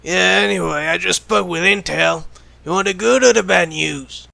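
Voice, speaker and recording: Man playing a gravelly, callous, sarcastic secret agent. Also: high voice, normal speed, bright, forceful tone, dry recording, heavily acted. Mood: smug, sarcastic. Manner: flippant, sardonic, teasing. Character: callous, sarcastic male secret agent who jokes about killing. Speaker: voice actor in audio roleplay. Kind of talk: ASMR roleplay acting.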